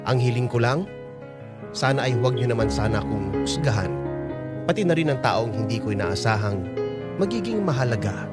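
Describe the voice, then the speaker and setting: A man's voice: medium pitch, animated, normal speed, pauses often, lightly acted. A Filipino man playing a humble 49-year-old businessman earnestly confiding his love story as a radio drama letter. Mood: hesitant, earnest. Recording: loud music under the voice.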